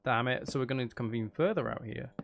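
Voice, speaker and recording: A man's voice: mid-range voice, very fast, vocal fry, noisy background. A man chatting casually on a gaming livestream, thinking aloud as he builds and bantering playfully with viewers, with some mild swearing. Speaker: livestream host.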